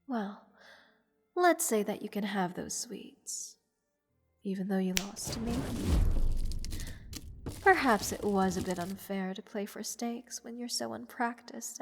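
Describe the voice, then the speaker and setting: A woman voicing a playful, haughty goddess in an intimate fantasy audio roleplay, teasing and condescending toward a captive mortal in measured, theatrical speech. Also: mid-range voice. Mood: indulgent, playful. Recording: long reverb with music behind. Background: orchestral music.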